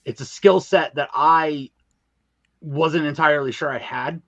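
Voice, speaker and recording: A man's voice: fairly high pitch, fairly even intonation, unhurried, fairly bright tone, no echo, dull audio. A man chatting casually as a podcast guest about his creative work, speaking emphatically with slangy wording and repeated phrases. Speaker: guest, audio drama creator and narrator. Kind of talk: casual podcast interview chat.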